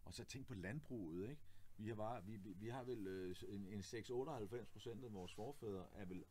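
A man's voice: on the low side, darkish tone. A man in his forties talking politics in a casual conversation, earnest and explanatory, often restarting his sentences and adding tag questions. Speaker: candidate and host. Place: clothing shop, indoors.

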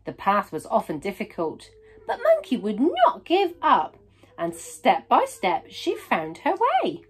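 Female storybook reader: expressive, highly animated, slightly high voice, emphatic on key words. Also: measured pace, breathy edge. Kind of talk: children's picture book read-aloud.

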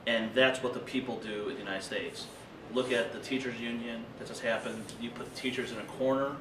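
Animated man, echoey room far off. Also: mid-range voice, fairly quick, background noise. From rural Illinois. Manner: animated, gesturing, loose conversational explaining. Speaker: invited speaker. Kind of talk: conference workshop talk. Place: meeting room at a table. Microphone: far camera.

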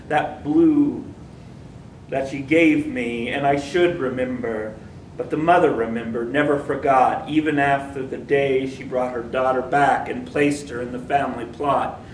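Guy reading his poems, steady rhythmic delivery, roomy far-off sound, faint hum. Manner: measured, rhythmic recitation. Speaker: poet at open mic. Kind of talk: poetry reading. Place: library meeting room, seated. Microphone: stand mic, far camera. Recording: echoey room, distant mic, faint rumble.

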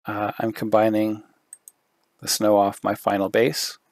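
A man giving a screen-recorded software tutorial, thinking aloud with fillers, his voice going hoarse. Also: on the low side, monotone, measured pace, dry recording, clean studio sound. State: losing his voice, throat clearing.

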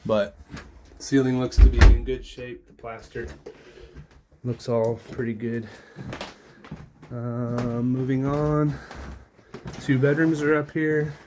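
Slow offhand man on a phone walkthrough. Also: medium pitch, level tone, noisy background. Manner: slow, offhand, thinking aloud. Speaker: tour guide. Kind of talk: casual walkthrough narration. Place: small house, indoors, walking room to room. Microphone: phone held while filming.

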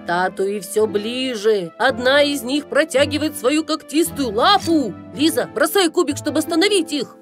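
High-voiced boy game master. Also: expressive, normal speed, hardly any pauses. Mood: suspenseful. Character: dramatic young boy game master reading aloud. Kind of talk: suspenseful tabletop game narration. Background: music.

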